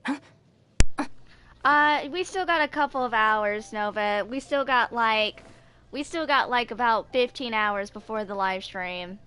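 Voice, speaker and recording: A woman's voice: a little high, measured pace, bright, forceful tone. A woman in her twenties reading game character stories aloud with casual commentary in an amateur recording. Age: 20s. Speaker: gaming video maker.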